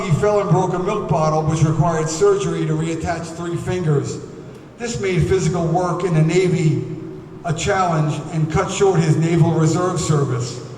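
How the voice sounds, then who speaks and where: A man emceeing a ceremony, reading biographies aloud at a podium in a formal, measured way with occasional hesitations. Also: high voice, darkish tone, a little hiss or hum. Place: large museum hall. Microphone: podium microphone. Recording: echoey hall, voice from far off.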